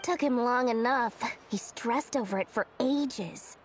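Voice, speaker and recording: A woman as a high, breezy merchant businesswoman. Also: measured pace, a little hiss or hum, lightly acted. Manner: breezy, self-assured, casually evasive. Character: shrewd, playful young businesswoman and wealthy merchant. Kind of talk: video game story dialogue acting. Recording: music behind the voice.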